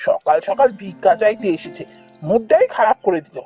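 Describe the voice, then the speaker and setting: A woman's voice: mid-range voice, sing-song, brisk, warm tone, breathy edge, faint music behind. A woman voicing a harsh, domineering, miserly elder brother in a cartoon fairy tale, scolding and sneering in repeated outbursts. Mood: irritated, dismissive.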